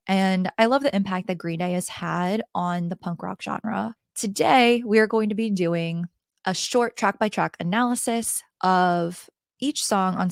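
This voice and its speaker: A woman with a hoarse, gravelly voice reviews an album in a casual, chatty vlog. She talks straight to her viewers through a handheld microphone. The sound is dry and close, with no room echo. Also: medium pitch. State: hoarse, raspy voice from the cold. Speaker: music reviewer.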